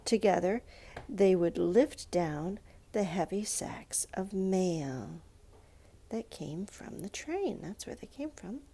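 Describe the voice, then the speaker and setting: Slow, mellow woman reading a storybook. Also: mid-range voice, lively intonation, a little hiss or hum. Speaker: storybook reader. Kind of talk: scripted narration of a children's picture book.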